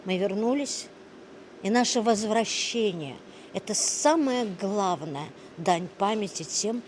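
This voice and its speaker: A woman of medium pitch speaks earnestly in an interview. Her phrasing is measured and solemn. The recording carries clear background noise. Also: animated. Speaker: performer. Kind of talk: on-site interview, earnest reflection. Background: noisy ambience.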